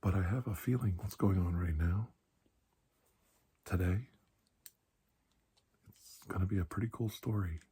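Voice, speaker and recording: A man speaking in a soft, breathy ASMR roleplay as a calm, kindly doctor, gentle and reassuring with unhurried pauses. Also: low voice. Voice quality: breathy, hushed. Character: calm, kindly male doctor soothing a frightened patient. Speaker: ASMR performer playing a doctor.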